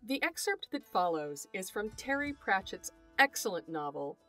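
A woman hosting an educational podcast, reading scripted narration in a measured, articulate and formal way. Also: mid-range voice, very animated, fairly bright tone, soft background music. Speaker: podcast host, folklore scholar.

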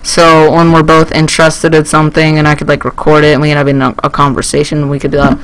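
This is a teenage male with a voice pitched a little high for a man. He talks casually over his gameplay as a YouTuber would. His delivery rambles and gets excitable as he goes. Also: fast talker, clear, resonant tone, no echo, poor audio. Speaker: gaming YouTuber.

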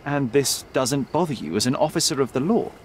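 An actor playing a young detective in a game, articulate, precise and self-assured. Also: male voice, medium pitch, animated, a little fast, a little hiss or hum, natural voice. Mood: confident, probing. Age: young adult. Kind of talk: dramatic game cutscene dialogue. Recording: faint night ambience behind.

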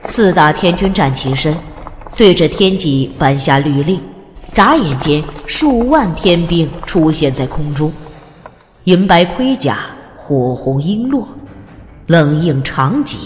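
A woman reading scripted narration of a fantasy audiobook in a dramatic storytelling style. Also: sits low. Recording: long hall reverb.

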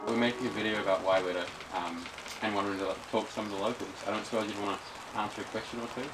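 A man's voice: on the low side, steady tone, racing, loud music behind the voice, poor audio. A young man, presenting a documentary as narrator and interviewer.

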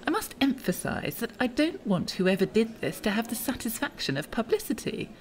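A woman's voice: a little high, very animated, moderate pace, slight background noise, low-quality recording. A woman acting as a prickly, guarded museum official in an audio drama, sarcastic and condescending. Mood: curt, irritated.

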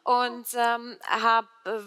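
A woman's voice: fairly high pitch, completely flat delivery, very slow, bright, forceful tone, clean tone. A middle-aged woman with a slight Swabian accent, speaking conversationally as a panel guest on an indoor stage. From Stuttgart area, southern Germany. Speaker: panel guest, company founder. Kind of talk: conversational panel answer about career. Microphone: headset microphone.

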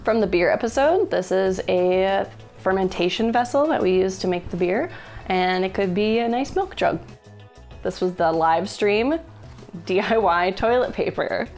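A woman's voice: medium pitch, unhurried, a little mellow, soft background music. A woman in her thirties hosting a casual show-and-tell, joking and laughing often, recorded in an indoor studio with an off-camera mic. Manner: playful, jokey, laughs often. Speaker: host. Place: indoor studio with a blue wall.

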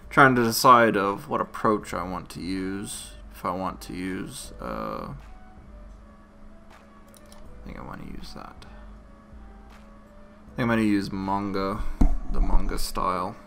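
A man hosting a casual, unscripted software tutorial, thinking aloud in short, halting phrases. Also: sits low, animated, vocal fry, over music.

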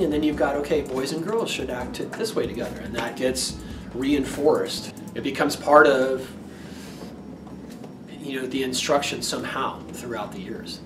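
Roomy-sounding man arguing his view. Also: medium pitch. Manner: animated, arguing a point. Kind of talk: interview opinion. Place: classroom office. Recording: roomy distant sound with music under.

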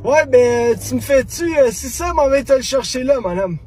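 A man's voice: very high voice, expressive, moderate pace, darkish tone, slightly rough, heavily acted. A man putting on a whiny, pushy, dim prank caller with a Quebec French accent, rambling in a childlike way. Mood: indignant, boastful. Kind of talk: prank phone call. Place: inside a parked car.

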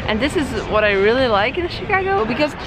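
A woman's voice: high voice, big pitch swings, quick pace, heavy background noise. A young woman from Poland, a native Polish speaker, casually narrating a travel vlog to a handheld camera outdoors in the city. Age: young adult. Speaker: vlogger, exchange student. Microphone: handheld camera at arm's length. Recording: loud music behind the voice.

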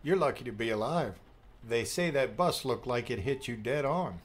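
A man playing a middle-aged, protective and affectionate father with a gentle, dry teasing manner in an audiobook drama. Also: medium pitch, lively intonation, moderate pace, close to an everyday voice. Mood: relieved, steady.

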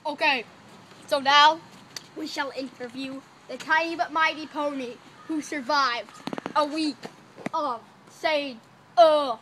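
A young girl with a high voice interviews toy animals in a bubbly, playful way. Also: steady tone, slow, a bit bright, a little hiss or hum, low-quality recording. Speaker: co-host. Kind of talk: playful home video commentary.

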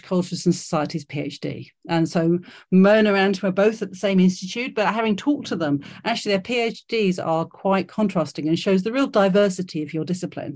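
A woman's voice: on the low side, darkish tone. A woman hosting an online careers workshop over a video call, encouraging and full of fillers and restarts. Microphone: video call mic.

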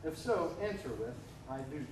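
A middle-aged man officiating a wedding, speaking in a measured, solemn way. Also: a little high, sing-song, a little mellow, a little hiss or hum. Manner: measured, solemn liturgical delivery. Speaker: officiating minister. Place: church sanctuary. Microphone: far camera. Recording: echoey church from far off.

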